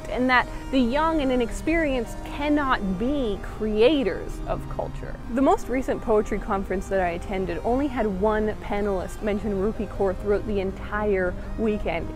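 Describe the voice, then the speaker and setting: A woman's voice: mid-range voice, rough recording. Earnest young woman narrating a documentary. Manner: earnest, measured, explanatory. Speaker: documentary maker and interviewer. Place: outdoors by a stone wall. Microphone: lapel mic. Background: background music.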